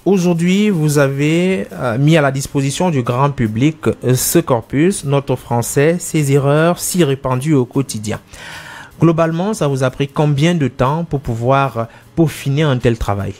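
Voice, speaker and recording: Measured male interview host. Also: mid-range voice, darkish tone. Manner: measured, formal questioning with fillers. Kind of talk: literary interview.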